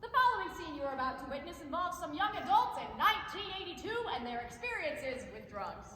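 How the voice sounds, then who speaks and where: A woman's voice: extremely high pitch, very animated, a little fast. A woman playing a perky, gushing infomercial saleswoman in a stage parody, with an exaggerated, theatrical delivery. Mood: announcing, dramatic. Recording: echoey hall, noisy live stage recording.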